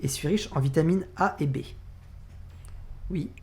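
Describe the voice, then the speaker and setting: A man's voice: fairly high pitch, measured pace, a little mellow. A man with a French accent hosting an online community quiz, reading clues aloud and counting down the points. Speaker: quiz host.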